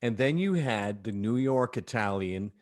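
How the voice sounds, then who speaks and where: A man's voice: on the low side, animated, measured pace, a little mellow. A man chatting casually and expressively as he leads a group discussion among performers. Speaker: audio drama cast member leading a cast discussion.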